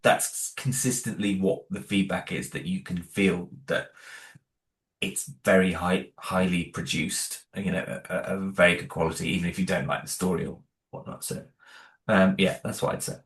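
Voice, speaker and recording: A man with a very low voice talks casually about his own creative work. He is self-deprecating and hesitant and stumbles over his words. His phrases trail off and restart. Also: fairly even intonation, normal speed, a bit bright. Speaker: audio drama creator, interview guest. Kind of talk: casual interview conversation.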